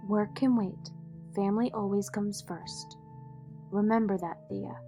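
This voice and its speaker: A woman acting in an audio drama as a poised, protective, wealthy mother and executive, measured, polished and dryly witty. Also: medium pitch, fairly even intonation, natural voice. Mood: brisk, affectionate. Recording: music behind the voice.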